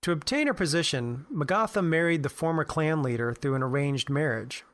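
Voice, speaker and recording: A man with a voice a little higher than most presents scripted commentary on game lore. He lays it out in an explanatory podcast style. The recording is dry and free of room echo. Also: animated, brisk.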